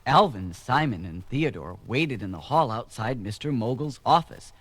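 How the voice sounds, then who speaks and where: A man's voice: medium pitch, lively intonation, moderate pace, music in the background. A man narrating a scripted children's story.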